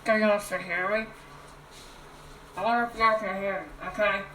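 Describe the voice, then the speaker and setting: A woman's voice: medium pitch, fairly even intonation, moderate pace, bright, forceful tone, a little hiss or hum, poor audio. A woman vlogging casually at home into her phone, talking through a cardboard tube and ending nearly every sentence with a questioning "okay?". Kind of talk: rambling casual vlog talk. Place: indoors at home.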